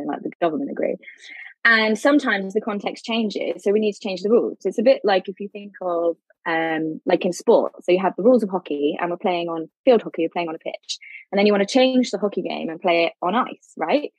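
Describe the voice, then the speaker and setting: A woman with a slightly husky, lowish voice explains legal ideas in a podcast chat. She leans on homely analogies and loose fillers, and the recording is close and dry. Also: darkish tone, no room sound, poor audio. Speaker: legal academic guest.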